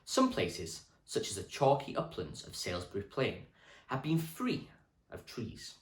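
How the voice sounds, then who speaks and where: A man, a primary school teacher, reading a children's history book aloud with clear, expressive storytelling for children. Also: on the low side, a little slow. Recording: echoey room.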